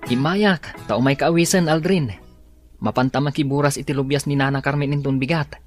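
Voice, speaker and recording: A man playing a brisk, nagging farmhand friend in a drama. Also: medium pitch, soft background music, natural voice. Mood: inviting.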